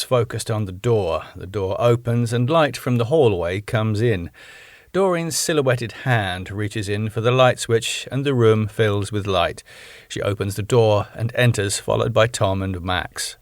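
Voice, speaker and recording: A man's voice: on the low side, expressive, unhurried, no echo, clean studio sound. A man from the United Kingdom reading the stage directions of his own script in a plain, matter-of-fact way.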